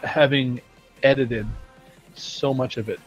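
A man's voice: medium pitch, fairly even intonation, slow pace, lo-fi sound. A man chats on a studio live stream, explaining his points at length in a casual way. Faint music plays underneath. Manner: talkative, explains at length with acted-out quotes. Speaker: game studio team member on stream.

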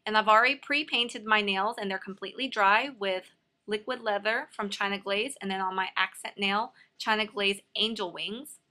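A woman's voice: mid-range voice, fairly even intonation, moderate pace, bright, forceful tone. A woman hosting a nail art tutorial in a casual, instructional voice-over.

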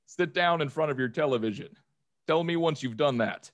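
A man acts out a strained, over-polite phone support agent for a comedy sketch. He speaks formally and haltingly, with a dry, close sound. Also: fairly high pitch, no echo, lightly acted. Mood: strained patience. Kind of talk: improvised comedy phone-call skit.

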